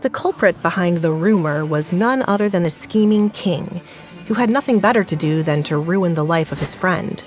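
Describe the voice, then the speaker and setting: A woman's voice: lowish voice, expressive, normal speed, soft background music. Woman narrating a romance audio drama. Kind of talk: scripted story narration.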